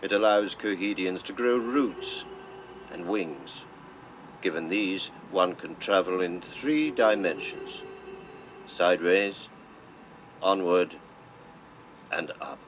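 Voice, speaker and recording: Male documentary narrator, old-fashioned delivery, low voice, solemn and measured; dull, noisy recording. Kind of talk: scripted narration in old-style documentary manner. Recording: dull lo-fi sound with clear noise.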